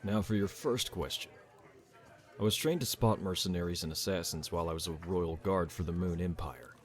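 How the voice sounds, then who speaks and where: A man playing a calm, fatherly bartender and former royal guard in a fantasy audio drama, measured and easygoing. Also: low-pitched, close to an everyday voice. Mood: steady, serious. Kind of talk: amateur fantasy audio roleplay acting.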